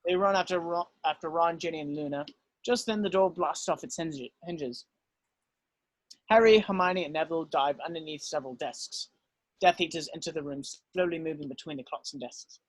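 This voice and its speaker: A man's voice: a little high, normal speed, no room sound, low-quality recording. A man reading stage directions as narrator in a scripted style.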